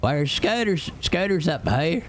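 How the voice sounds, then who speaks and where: A man putting on a high, head-voiced male character while congested with a cold. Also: animated, unhurried, a little hiss or hum. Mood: explaining. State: stuffy nose from a cold.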